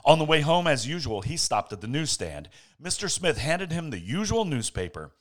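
A man reading a bedtime story aloud as a podcast host, with playful dramatic pauses and casual asides. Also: a little high, big pitch swings, a bit bright.

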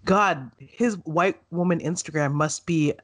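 A woman co-hosting a podcast in casual chat, animated and playful, often laughing. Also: low voice, a little slow. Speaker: podcast co-host.

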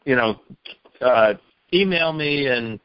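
A man's voice: medium pitch, animated, takes it slowly, dry recording. A man guest in a casual chat, talking loosely with lots of fillers and the odd curse. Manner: chatty, hesitant fillers, swears casually. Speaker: author guest. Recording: dry, dull lo-fi sound.

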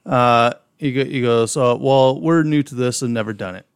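A man's voice: medium pitch, moderate pace, clean tone, no echo, clean studio sound. A man with an Upper Midwest American accent, co-hosting a casual podcast, telling stories and reading out listener messages, with some profanity. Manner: storytelling, reads out messages, profane. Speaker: podcast co-host.

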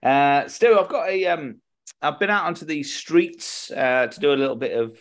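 A man's voice: a little high, normal speed. A British man co-hosting a podcast in a casual, jokey, chatty way. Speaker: podcast co-host. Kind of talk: casual comedic chat.

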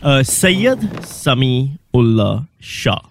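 A man's voice: mid-range voice, sing-song, extremely slow, vocal fry, slight background noise. A man in his late thirties from Pakistan, a guest comedian being interviewed, talking in a wry, self-mocking way with lots of ums. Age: 30s.

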